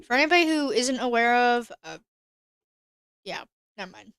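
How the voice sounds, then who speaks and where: Woman game streamer, a little high, bright and clear, casual running commentary with chat asides. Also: animated, moderate pace, no echo, studio quality. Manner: chatty, thinks aloud, answers chat.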